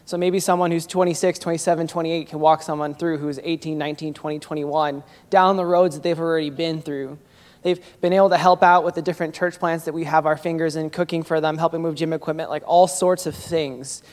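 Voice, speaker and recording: A man's voice: a little high, fairly even intonation, fairly quick. A male pastor speaking earnestly to a congregation about a young adult group, in a reverberant church sanctuary. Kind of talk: church announcement and introduction.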